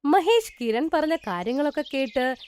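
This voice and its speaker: High, animated woman storyteller. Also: moderate pace. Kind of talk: scripted children's story narration.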